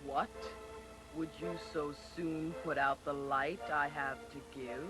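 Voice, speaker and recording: A man's voice: on the high side, fairly even intonation, takes it slowly, over music. A man voicing an ageless, stern but helpful spirit, solemn and commanding, in a storybook read-along. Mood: reproachful.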